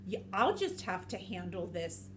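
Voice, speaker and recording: A woman's voice: on the low side, very animated, fairly bright tone, a little hiss or hum. Woman putting on a sly, drawling storybook snake. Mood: sly, overconfident. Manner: drawn-out, falsely reassuring. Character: sly, hungry, two-faced male storybook snake. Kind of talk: children's storybook character reading.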